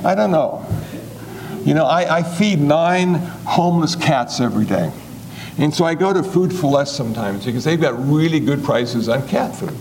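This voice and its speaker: A man in his seventies giving a relaxed, joking talk on Buddhist teachings in a folksy, conversational way. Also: a little high, moderate pace, creaky, a little hiss or hum, poor audio. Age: 70s. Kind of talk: humorous dharma talk.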